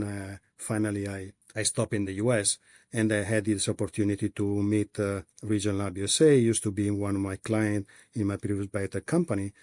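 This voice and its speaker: Mellow low-voiced Italian man. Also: dry recording, clean studio sound. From Italy. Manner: halting, many pauses and restarts. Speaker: guest, company executive. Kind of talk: interview answers.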